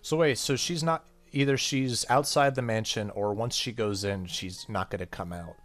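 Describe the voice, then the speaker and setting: Let's play host: male, lowish voice, informal, slightly stumbling reader, soft game music underneath. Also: normal speed. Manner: stumbles over words when reading. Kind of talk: casual game commentary and reading game text aloud.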